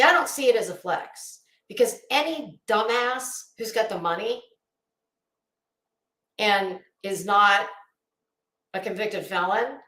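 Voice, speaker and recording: A woman's voice: medium pitch, fairly bright tone. A blunt, sweary woman ranting casually to viewers as host of a livestream, emphatic and often restarting her phrases. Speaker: host of a live commentary channel. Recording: echoey, roomy sound.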